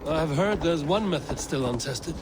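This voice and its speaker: An actor playing a discreet, probing Norse god in a video game drama, speaking in a measured, terse way. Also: male voice, a little high, lively intonation, background noise, natural voice. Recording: loud game ambience behind.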